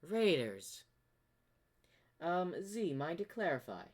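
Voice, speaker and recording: Man voicing a smug, sadistic pony sorceress, shifted high. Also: sing-song, takes it slowly, exaggerated voice. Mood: demanding. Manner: smug, theatrical, taunting. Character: boastful, sadistic female unicorn pony sorceress.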